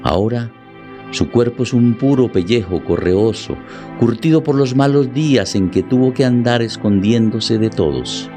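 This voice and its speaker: A man's voice: medium pitch, expressive, normal speed, over music. A man delivering scripted dramatic narration as the narrator of a story.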